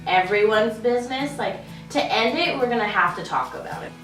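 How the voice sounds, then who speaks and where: A woman's voice: on the high side, lively intonation, brisk, a bit bright, reverberant, distant mic, faint music behind, low-quality recording. A young woman speaking casually and earnestly, thinking aloud and peppering her speech with "like". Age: young adult. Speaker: student speaker.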